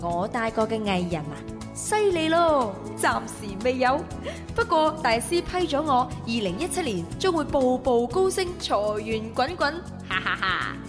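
A woman's voice: fairly high pitch, big pitch swings, unhurried. A woman playing a bubbly, optimistic young artist's assistant in a radio drama monologue, chirpy and self-assured. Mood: bright, confident. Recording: long reverb, music behind.

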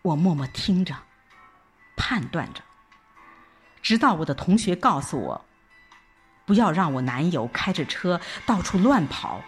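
A woman reading a scripted first-person audio drama narration in an expressive, reflective storytelling manner. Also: on the low side, measured pace, long pauses. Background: background music.

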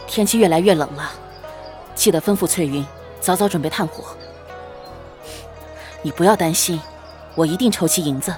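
Woman acting as young gentleman painter, fairly low voice, courteous, resolute, period audio drama, background music. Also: moderate pace, pauses often, natural voice. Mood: resolute, caring. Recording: music behind the voice.

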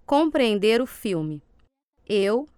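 A woman reading instructions and cue words for a language course drill in a calm, precise way. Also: mid-range voice, drawn-out words, a little hiss or hum. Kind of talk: scripted language drill prompts.

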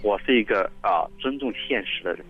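A man calling in to a radio show, speaking earnestly and haltingly, often repeating his words. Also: fairly low pitch, expressive. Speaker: caller. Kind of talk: phone-in conversation. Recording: muffled phone line with faint music.

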